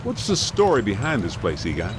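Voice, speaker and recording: An actor playing a practical, skeptical ghost hunter in a video game, talking in a plain, colloquial way. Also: male voice, on the low side, wildly swooping pitch, fairly quick. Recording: music behind voice.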